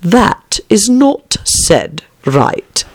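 A woman's voice: medium pitch, very animated, very slow, pitched above the performer's own voice, heavily acted. A woman voicing a curt, irritable storybook caterpillar in a languid, stern drawl. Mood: stern, contemptuous. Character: slow, curt, irritable caterpillar smoking a hookah.

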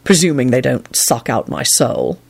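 A woman voicing an impassive, sardonic, gruff grown man in an audiobook, dispassionate and muttering. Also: low voice, very animated, fairly quick, full character voice. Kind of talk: audiobook character voice.

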